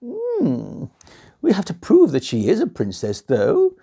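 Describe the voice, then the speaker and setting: A storyteller voicing a bossy, fussy old queen in a bedtime tale, with a high, loud, fluttering delivery. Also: wildly swooping pitch, measured pace, vocal fry, slightly rough, heavily acted. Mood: fussing, proud.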